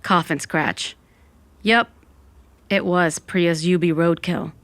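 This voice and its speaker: Woman acting a wry undead goth narrator, lowish voice. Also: steady tone, takes it slowly, a bit bright. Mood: wry storytelling. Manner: wry, dramatic storytelling. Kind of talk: first-person comic audio fiction narration.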